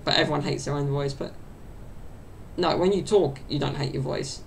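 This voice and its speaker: Young man, chatty rambling podcast host, gravelly fry, faint room rumble. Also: normal speed. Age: 20s. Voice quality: high-pitched, gravelly. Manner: chatty and meandering, full of fillers. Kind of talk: rambling solo podcast chat. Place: small bedroom at home. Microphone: desk mic set off to the side.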